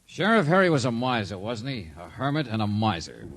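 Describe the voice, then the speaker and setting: An actor playing a brisk, probing, wry private investigator in 1940s radio drama style. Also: male voice, lowish voice, sing-song, a bit bright, heavily acted. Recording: old radio drama recording.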